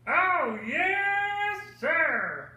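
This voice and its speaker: A shy, sweet little boy puppet for a kids' bedtime Bible skit. The voice is extremely high and bright, with childlike, very slow, drawn-out words. It rings in a very echoey room. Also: very animated, heavily acted. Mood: polite.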